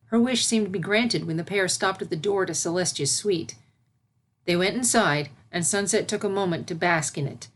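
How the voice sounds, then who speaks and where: Lower-pitched woman narrating a story. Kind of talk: scripted narration of fan fiction.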